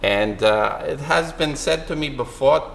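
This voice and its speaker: A middle-aged man from Germany, rambling through a self-recorded vlog rant in an animated, self-mocking way that repeats phrases. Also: medium pitch, unhurried, gravelly, slight background noise. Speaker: artist vlogger.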